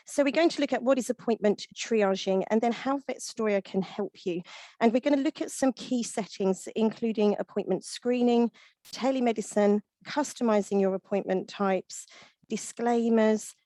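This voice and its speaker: A woman in her thirties with a husky voice, presenting a webinar as a veterinary nurse. She explains steadily and works through lists, with small fillers along the way. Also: mid-range voice, mellow, no room sound, rough recording.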